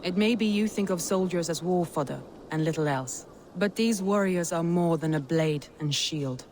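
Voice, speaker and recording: A woman's voice: lowish voice, moderate pace, darkish tone, slight background noise, lightly acted. A woman voicing a proud, resolute Viking lord and war leader in a video game, commanding, guarded and earnest. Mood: earnest, defiant. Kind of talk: video game dramatic acting. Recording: music behind the voice.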